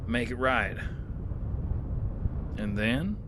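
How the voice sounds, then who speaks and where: An actor narrating as a weary gunslinger in an audio drama, with a dry, laconic delivery. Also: male voice, mid-range voice, expressive, drawn-out words, background noise. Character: weary male gunslinger narrating his tale.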